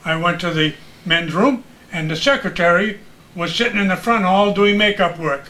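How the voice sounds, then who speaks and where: High, bright-voiced older man spinning yarns. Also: normal speed. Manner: animated storyteller acting out remembered exchanges. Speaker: retired radio broadcaster recalling his career. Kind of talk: casual anecdotal reminiscing.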